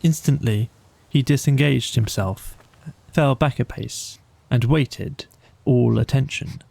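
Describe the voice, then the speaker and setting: Slow, creaky male bedtime storyteller. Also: medium pitch, darkish tone, dry recording. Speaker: audiobook narrator. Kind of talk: scripted bedtime storybook narration.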